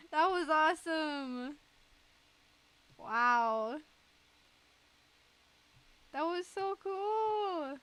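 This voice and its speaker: Girl streamer just chatting, high bright voice, giggly and excited, kinda unhurried. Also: rough recording. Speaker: livestreamer. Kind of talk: casual livestream chat.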